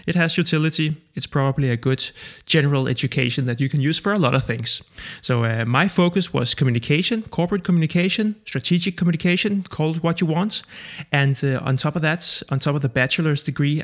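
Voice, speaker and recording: A Danish man in his thirties, a native Danish speaker, hosting his own podcast and telling his background in a relaxed way with many pauses and fillers. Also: mid-range voice. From Denmark. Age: 30s. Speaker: podcast host. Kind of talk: casual solo podcast monologue.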